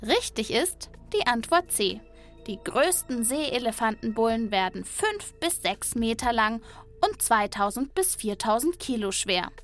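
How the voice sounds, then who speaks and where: A woman narrates a children's animal podcast in a lively, playful way, speaking straight to young listeners. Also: on the high side, measured pace, faint music behind.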